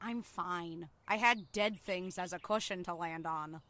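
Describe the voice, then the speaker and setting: A woman's voice: lowish voice, unhurried, pushed and bright. A woman playing a stubborn, grumpy survivor in a zombie audio drama, snappy and sarcastic. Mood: irritated.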